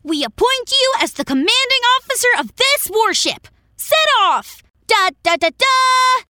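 A storyteller voicing an excitable, playful young child in a children's tale. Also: very high-pitched, unhurried, dry recording, clean studio sound, pitched above the performer's own voice, full character voice. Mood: playful pomp.

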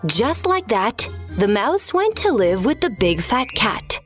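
A woman's voice: fairly high pitch, sing-song, slow pace, breathy edge, faint music behind. A woman narrating a scripted children's story as a storyteller.

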